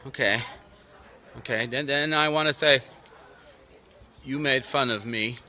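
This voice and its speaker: A man's voice: medium pitch, sharp tone. Piercing, stammering, childlike man. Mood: agitated, menacing. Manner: halting, stammering, plaintive. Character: simple, wounded, childlike man with a grudge. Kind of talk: radio mystery drama acting.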